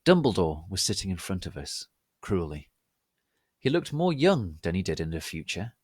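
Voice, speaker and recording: A man performs a dramatic comic reading of fan fiction. His voice sits fairly low but moves up and down a great deal. The delivery is exaggerated and theatrical, in a dry, close sound. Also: big pitch swings, moderate pace, slightly rough, no echo. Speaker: reader.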